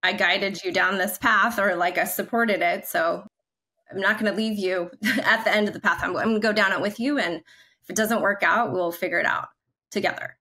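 A woman's voice: medium pitch, steady tone, normal speed, sharp tone. A woman in her forties, a guest on a video-call interview, talking in an upbeat, chatty way with lots of fillers from her living room. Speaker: guest, creative brand leader. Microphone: video call, laptop camera.

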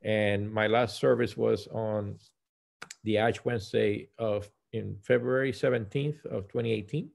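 A man in his fifties from Puerto Rico with a Puerto Rican accent, a native Spanish speaker, talking conversationally as a guest pastor on a video call, halting and correcting himself. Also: on the low side, steady tone, vocal fry, a little mellow. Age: 50s. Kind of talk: conversational personal account. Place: small room at home, indoors.

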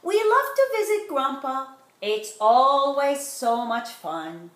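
A woman's voice: high voice, big pitch swings, slow. A middle-aged woman reading a children's picture book aloud, stretching out rhyming words expressively. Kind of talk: animated children's read-aloud. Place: living room at home, indoors. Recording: roomy home recording.

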